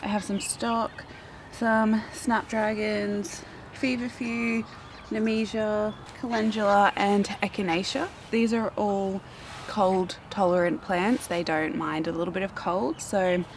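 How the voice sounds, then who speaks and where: A young woman with an Australian accent and a croaky morning voice, chatting casually and self-mockingly to camera in her backyard garden. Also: mid-range voice, little pitch movement, takes it slowly, noisy background. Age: young adult. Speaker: flower farming vlogger. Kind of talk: casual vlog talk to camera.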